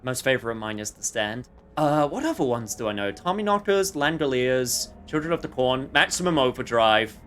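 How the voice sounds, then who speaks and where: A man's voice: mid-range voice, expressive, moderate pace, hardly any pauses, fairly bright tone, slight background noise. A man livestreaming a video game with casual commentary, chatting to viewers in a rambling way with jokey asides.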